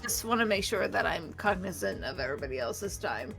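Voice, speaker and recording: A woman bantering casually and bluntly during a live dub, playful and quick to swear. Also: lowish voice, very animated, fairly bright tone, soft background music.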